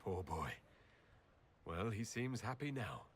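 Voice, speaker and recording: A man's voice: on the low side, very animated, slow, a little hiss or hum. A man playing a kindly, dryly humorous hero leader in a game cutscene, speaking politely. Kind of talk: video game cutscene acting.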